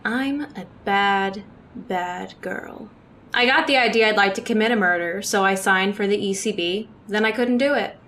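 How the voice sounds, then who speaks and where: A woman's voice: mid-range voice, moderate pace, natural voice. Woman as a resigned young victim, background noise. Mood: bitter calm. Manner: calm, resigned. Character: resigned, sad young woman awaiting her killer. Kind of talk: old-time radio drama acting.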